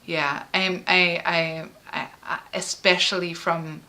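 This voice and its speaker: Bright-voiced woman, halting and emotional. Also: fairly low pitch, level tone. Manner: halting and emotional, frequent fillers and restarts. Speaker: artist and musician. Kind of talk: personal interview.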